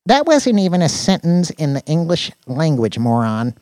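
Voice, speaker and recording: A man's voice: fairly high pitch, big pitch swings, moderate pace, darkish tone, dry recording, pitched above the performer's own voice. A man voicing a lanky, nerdy male tech deputy in an audiobook, with a precise, anxious, sardonic manner. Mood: exasperated. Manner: sarcastic, precise, exasperated.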